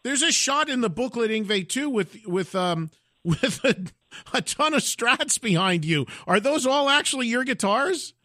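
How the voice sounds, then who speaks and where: A man's voice: extremely high pitch, lively intonation, a little slow, bright, forceful tone, no echo, clean studio sound. A middle-aged man hosting a rock interview show, chatty and enthusiastic. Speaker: radio and podcast host. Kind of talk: interviewing a guest.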